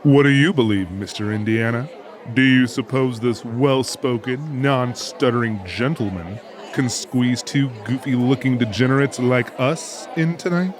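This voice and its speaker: A man's voice: medium pitch, a little slow, some chatter behind, close to an everyday voice. A man playing a big, sardonic tough with a pompous, wordy, mock-formal manner in an audiobook drama. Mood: needling.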